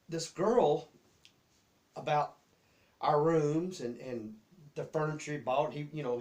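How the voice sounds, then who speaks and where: A man's voice: medium pitch, darkish tone. A middle-aged man with a Southern US accent casually tells personal stories in a rambling, folksy way, often repeating his words. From Memphis, Tennessee area. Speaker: host telling childhood memories.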